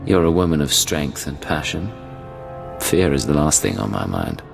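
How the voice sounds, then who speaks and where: An actor playing a cool, confident male Imperial secret agent in a video game, speaking in a measured, commanding way. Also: rumbling low, very animated, creaky, exaggerated voice. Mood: smooth, confident. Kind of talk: dramatic video game dialogue. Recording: long reverb, music behind.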